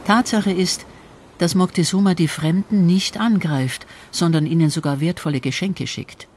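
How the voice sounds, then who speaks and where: A man's voice: high-pitched, normal speed, darkish tone, a little hiss or hum. A man reading scripted documentary narration as the narrator of an audio feature.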